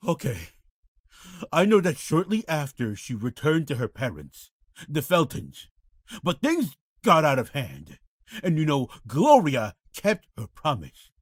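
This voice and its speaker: An actor playing a guilt-ridden, desperate man in a dramatic video game scene, husky and halting as he pleads. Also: fairly high pitch, huge pitch swings, no room sound, studio quality, full character voice. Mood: somber confessing. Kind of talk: dramatic game cutscene acting.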